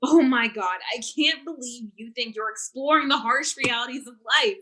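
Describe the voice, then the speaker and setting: An actress playing an earnest, accusing activist who challenges a talk show host, in a staged play reading on a video call. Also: female voice, on the high side, normal speed, sharp tone. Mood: scornful. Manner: accusing, insistent.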